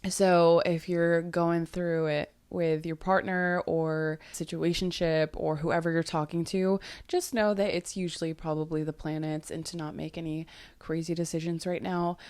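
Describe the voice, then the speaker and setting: A young woman coaches listeners on dating in a loose, chatty monologue. Her voice sits slightly low and still carries morning sleepiness. She rambles with fillers and the odd casual swear. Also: level tone, moderate pace, darkish tone, clean tone. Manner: chatty, lots of likes and ums, swearing. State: sleepy voice, just woke up. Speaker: podcast host and dating coach.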